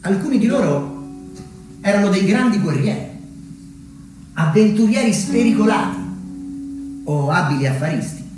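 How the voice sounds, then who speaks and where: A man from Umbria in Italy performing a reflective theatrical monologue as a solo storyteller, measured and pausing for weight. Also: sits high, animated, darkish tone. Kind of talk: theatrical monologue, narrated memoir. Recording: very echoey, distant mic, music underneath.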